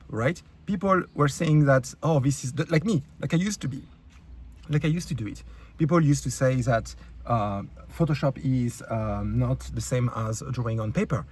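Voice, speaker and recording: A man's voice: mid-range voice, a little hiss or hum. A man with a French accent, a YouTube creator ranting angrily and bluntly to his viewers, full of swearing, fillers and restarts. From France. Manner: blunt, profane, heated, many fillers and restarts. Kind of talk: angry rant to camera.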